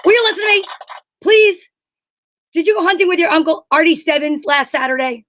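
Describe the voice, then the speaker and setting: A bossy, exasperated straight man from an old radio comedy duo , acted by a woman. The voice is high and sharp, firing incredulous questions and scolding a foolish partner. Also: animated, fairly quick. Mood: impatient.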